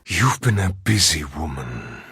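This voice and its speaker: Oathkeeper, a man voicing a deep husky spirit. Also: very animated, fairly bright tone, no echo, studio quality, exaggerated voice. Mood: menacing. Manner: slow, menacing, drawn out. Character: ancient bargaining male spirit, menacing. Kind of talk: fan voice drama.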